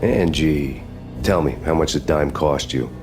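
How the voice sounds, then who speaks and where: An actor playing a cynical, hardboiled ex-cop in a game, speaking deadpan with dry sarcastic wit. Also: male voice, extremely low pitch, measured pace. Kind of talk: noir game narration and dialogue. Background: music.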